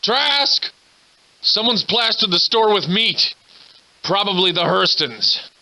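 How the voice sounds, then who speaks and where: Theatrical, surly old-time drunken scoundrel. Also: male voice, high-pitched, lively intonation, slow pace, bright, forceful tone, full character voice, poor audio. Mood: bewildered. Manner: florid, old-fashioned, theatrical diction. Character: surly hard-drinking old-time scoundrel, grandiloquent survivor. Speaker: video game protagonist voice actor. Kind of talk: theatrical video game character dialogue.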